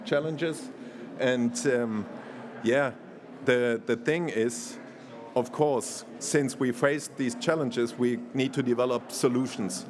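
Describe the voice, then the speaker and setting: German man presenting, hesitant and halting. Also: medium pitch. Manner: hesitant, many fillers and restarts, laughs easily. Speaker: conference speaker. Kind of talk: conference presentation.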